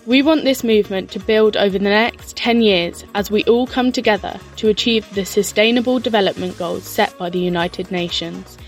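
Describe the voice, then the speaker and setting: A woman giving measured, earnest scripted narration for a documentary. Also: mid-range voice, soft background music.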